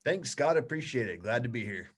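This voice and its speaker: A man around forty, a guest in a casual interview, telling stories in a relaxed, easygoing way. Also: mid-range voice, brisk. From United States.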